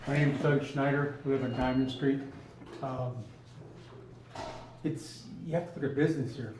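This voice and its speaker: Resident man at public comment, earnest and halting; roomy, distant sound with light hiss. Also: mid-range voice, fairly even intonation. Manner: earnest, hesitant, many ums and I means. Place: public meeting room. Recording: echoey room, distant mic.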